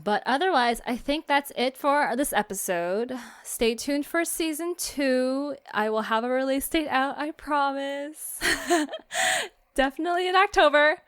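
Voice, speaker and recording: A woman's voice: sits high, expressive, a little slow, a bit bright. A woman hosting a podcast in a casual, easygoing chat. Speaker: podcast host.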